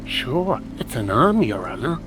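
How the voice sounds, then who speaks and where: A rustic servant creature from a storybook, deferential yet reluctant. The folksy voice swings widely in pitch over music and rain. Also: male voice, big pitch swings, unhurried. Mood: servile, reluctant. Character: servile, reluctant rustic male animal servant. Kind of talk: dramatic audiobook character acting. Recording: music and rain ambience behind.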